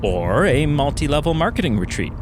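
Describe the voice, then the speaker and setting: A man's voice: on the high side, sing-song, fairly quick, noisy background. A man playing a sarcastic, wisecracking passenger who meets every problem with dry quips. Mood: wry. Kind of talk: podcast radio drama acting.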